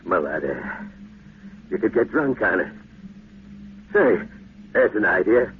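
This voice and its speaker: An actor playing a rowdy, boozy rocket crewman with a rough, husky voice and an eager manner in 1950s radio drama. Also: sits low, sing-song, takes it slowly, a little hiss or hum, exaggerated voice. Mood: boisterous. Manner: eager, boisterous. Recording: tinny, band-limited 1950s radio recording.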